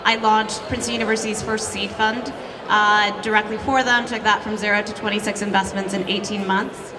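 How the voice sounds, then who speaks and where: A woman's voice: mid-range voice, little pitch movement, a little fast, crowd noise. A woman panelist introducing herself and summing up her career in venture and startups. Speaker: startup platform executive, panelist. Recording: echoey hall, distant mic, noise.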